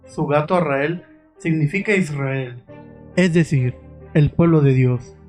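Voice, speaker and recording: A man delivers scripted narration in a fairly high register. His delivery is suspenseful and dramatic, addressing the listener directly over music. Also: measured pace, darkish tone. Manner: suspenseful, rhetorical questions to listeners.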